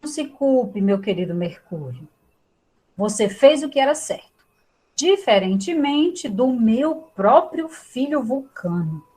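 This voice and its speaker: A woman playing an imperious, bitter queen of the gods, disdainful and drawn-out, in a mythological comedy audio drama. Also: fairly high pitch, sing-song, a little mellow, husky, exaggerated voice, poor audio. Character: scornful, imperious queen goddess, bitter wife and mother.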